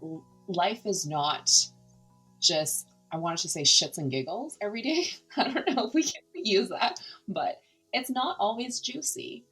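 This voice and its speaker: A woman's voice: medium pitch, expressive, unhurried, a bit bright, breathy edge, soft background music, poor audio. A middle-aged woman from Canada whose first language is Cantonese, speaking earnestly and reflectively as a podcast guest, with hesitations and restarts. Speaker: podcast guest, nonprofit founder. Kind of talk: candid conversational interview.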